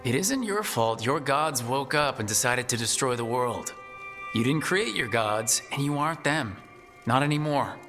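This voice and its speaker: An actor playing a pragmatic male team leader in a video game, measured and reassuring. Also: medium pitch, expressive, fairly bright tone, lightly acted. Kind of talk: video game dialogue acting. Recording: long hall reverb, music underneath.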